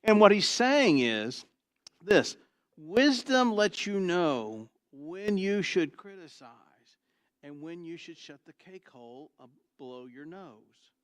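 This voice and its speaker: A pastor with a booming, trained voice preaching an expository sermon, swinging from loud emphasis to quiet asides and asking "Why?" before he answers. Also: big pitch swings, slow, dry recording. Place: church sanctuary.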